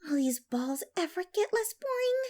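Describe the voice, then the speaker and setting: A woman's voice: very high-pitched, sing-song, moderate pace, mellow, pitched above the performer's own voice. A woman voicing an affectionate, eager young pony princess in an earnest, emotive way for a fan fiction reading. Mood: weary complaint.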